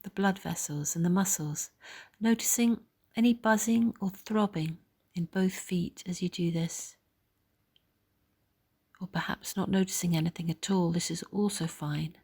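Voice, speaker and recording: A woman leading a guided body scan meditation in a soft, gentle, soothing way, pausing between phrases. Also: fairly low pitch, breathy edge.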